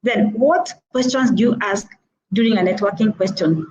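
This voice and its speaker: A middle-aged woman with a Kenyan accent gives earnest advice, circling back to her points between small "uh" pauses. Her voice is warm and mellow. She comes through a muffled, lo-fi video call. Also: medium pitch. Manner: earnest and advising, repeating points with frequent "uh" hesitations. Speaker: motivational speaker. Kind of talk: instructive talk on networking.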